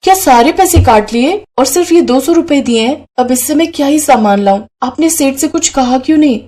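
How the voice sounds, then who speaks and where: A woman's voice: high-pitched, animated, brisk, mellow, pitched above the performer's own voice. A woman voicing a poor, devoted young wife and mother in a moral story, speaking in an earnest, plaintive way. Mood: worried, complaining.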